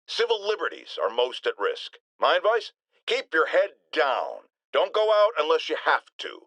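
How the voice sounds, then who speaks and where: A blustering middle-aged talk radio host from a video game, his voice pitched high and swinging dramatically. He is paranoid and indignant, hammering key words with theatrical force in a bright, cutting tone. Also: no echo, full character voice. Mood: stern, opinionated. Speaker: radio call-in show host. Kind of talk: ranting talk radio monologue.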